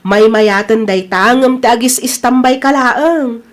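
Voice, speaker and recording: A woman's voice: a little high, unhurried, lightly acted. A woman with an Ilocano accent playing a supportive older-sister figure who gives advice in a radio drama. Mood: encouraging. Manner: encouraging, advising.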